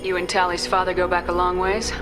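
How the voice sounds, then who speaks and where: A woman's voice: lowish voice, monotone, brisk, over music, close to an everyday voice. An actress playing a composed, direct female military commander in a science fiction video game, businesslike and assured. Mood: calm, probing. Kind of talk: video game dialogue acting.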